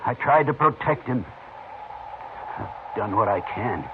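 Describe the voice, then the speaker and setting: A man's voice: mid-range voice, animated, unhurried. An actor playing a proud, grieving older colonel and father, weary and resigned, in a radio western. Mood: anguished, resigned. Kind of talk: 1950s radio western acting. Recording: noisy tinny 1950s radio recording.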